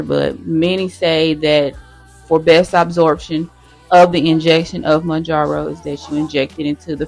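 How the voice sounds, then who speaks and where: Gravelly, hoarse woman vlogging. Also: low-pitched. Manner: chatty, addresses viewers directly as y'all. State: hoarse, losing her voice. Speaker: nurse sharing her own experience. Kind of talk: casual how-to vlog.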